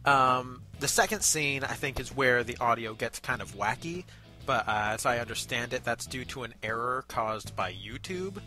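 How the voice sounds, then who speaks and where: Bright-voiced, playfully mock-formal review host. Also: medium pitch, animated, normal speed, soft background music. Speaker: amateur web show review host. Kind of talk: casual banter with playful announcements.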